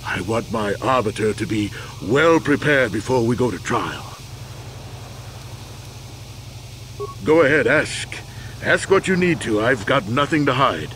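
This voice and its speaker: An actor playing a proud, weary old war veteran accused of a crime, earnest and deliberate, in a video game. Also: male voice, medium pitch, animated, fairly bright tone, slight background noise, lightly acted. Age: older.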